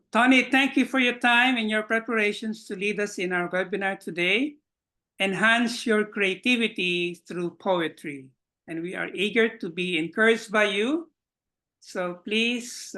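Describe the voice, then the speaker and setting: Bright-voiced man hosting a webinar. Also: high voice. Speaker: webinar host. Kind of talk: welcoming introduction to an online webinar. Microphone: video call.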